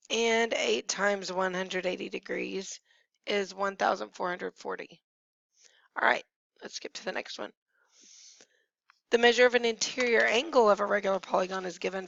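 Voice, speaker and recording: A woman math teacher who has nearly lost her voice, hoarse and at times whispering, patiently talking through each step of a screencast lesson. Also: medium pitch, a bit bright, no echo. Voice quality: hoarse, gravelly, sometimes dropping to a whisper. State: losing her voice. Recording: dry, dull lo-fi screencast audio.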